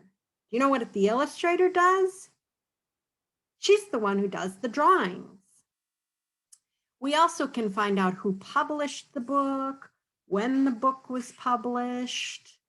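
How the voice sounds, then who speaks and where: A woman's voice: a little high, a bit bright. A woman reading a picture book aloud to children, stopping to ask questions and explain in a teacherly, encouraging way. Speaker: read-aloud storyteller for children.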